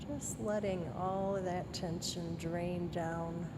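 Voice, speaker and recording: A woman leading a guided body scan meditation in a gentle, soothing manner, leaving long pauses between phrases. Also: lowish voice, fairly even intonation, very noisy.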